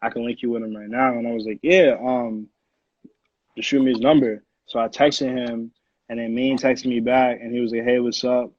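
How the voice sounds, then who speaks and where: A man's voice: mid-range voice, fairly even intonation, normal speed, a little mellow, no echo, highs cut off. A man in his early twenties with an American accent, chatting casually and in slang as an interview guest. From Connecticut, USA. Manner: slangy, informal. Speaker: music producer, interview guest. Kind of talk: casual chat.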